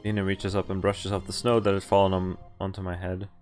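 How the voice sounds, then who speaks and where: A man's voice: low voice, level tone, a little fast, darkish tone. A man streaming a game, reading its story text aloud casually, stumbling over the odd word and breaking off to comment. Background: game music and sound effects.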